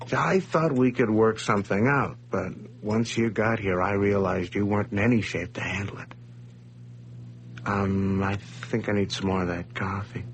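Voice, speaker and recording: A man's voice: on the low side, fairly even intonation, normal speed, slight background noise, lightly acted. An actor playing a nervous, scheming man who stammers and dodges as he confesses at gunpoint in a radio play. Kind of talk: 1980s radio drama acting.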